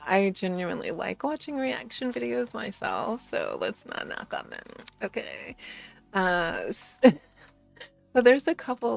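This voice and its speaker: A tired woman with a slightly raspy low voice, chatting in a sleepy, giggly, rambling way as a streamer on a late-night stream. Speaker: VTuber streamer.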